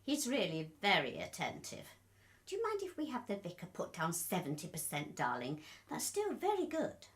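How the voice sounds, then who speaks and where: A female actor playing a sweetly condescending, quietly controlling middle-aged wife in a radio comedy, polite with a pointed edge. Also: medium pitch, huge pitch swings, moderate pace. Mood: coaxing, insistent. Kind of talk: radio play comedy acting.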